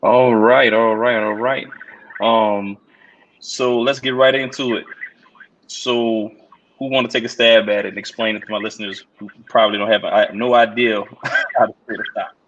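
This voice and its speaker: A man with a lowish voice hosts a casual interview and asks his questions in a relaxed, loose way. The recording sounds dull and lo-fi. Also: moderate pace. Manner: relaxed, repeats "all right". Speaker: podcast host.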